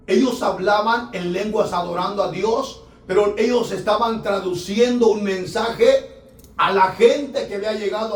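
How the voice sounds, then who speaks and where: Man, pastor, fervent sermon, high voice, roomy church echo. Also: distant mic. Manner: fervent preaching, rising to shouts, filler "hermano". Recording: echoey church room, voice from off-mic.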